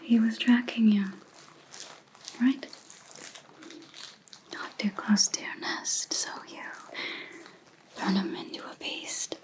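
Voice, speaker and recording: A woman playing a calm, menacing vampire hunter in an ASMR roleplay, whispering breathily and deliberately, close to the microphone. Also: a little high. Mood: quiet menace. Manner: slow, deliberate, quietly accusing.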